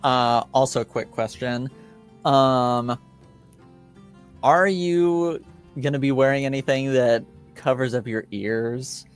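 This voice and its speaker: Guy game mastering, smooth clear voice, kinda halting as he improvises, faint music under. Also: mid-range voice. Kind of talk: improvised tabletop game narration.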